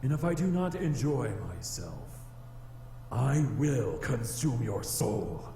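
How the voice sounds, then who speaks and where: A man's voice: mid-range voice, big pitch swings, mellow. A man voicing a haughty, easily angered male demon in a visual novel game, speaking in a formal, pompous way. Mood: menacing, condescending. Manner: pompous, formal, slow delivery. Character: haughty centuries-old male flame demon, easily enraged. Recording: roomy echo with music behind.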